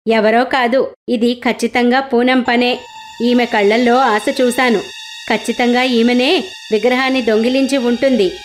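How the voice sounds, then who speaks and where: A woman's voice: on the high side, steady tone, moderate pace, soft background music, close to an everyday voice. A woman voicing a greedy, scheming young village man who speaks harshly and accuses others. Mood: accusing.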